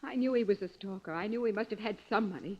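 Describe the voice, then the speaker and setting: A woman's voice: medium pitch, quick pace, a little mellow, lightly acted. A woman playing a defensive, resentful prisoner in 1940s radio style, insistent in her delivery. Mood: bitter, defensive. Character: bitter, defensive jailed woman protecting her brother. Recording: dull lo-fi old radio recording.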